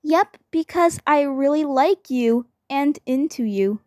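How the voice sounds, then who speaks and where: A woman voicing a bold, playful, lovestruck girl in an amateur fan dub, halting and repeating her words. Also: high-pitched, animated, mellow, no room sound, clean studio sound. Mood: smitten.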